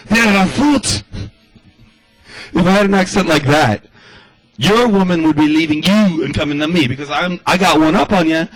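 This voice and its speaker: A man's voice: on the high side, dry recording, rough recording. A man doing a rambling stand-up comedy monologue in the style of a radio address, loose and chatty, full of asides.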